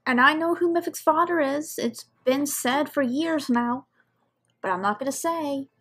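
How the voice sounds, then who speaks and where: A woman's voice: high-pitched, a little slow, a bit bright. A woman giving casual reaction commentary as she watches.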